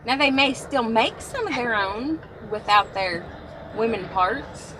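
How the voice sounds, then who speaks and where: A woman with a high, very lively voice chats and teases her partner. She talks in a truck cab, and clear road noise runs under her voice. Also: a little slow. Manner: teasing, chatty. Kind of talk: casual chat.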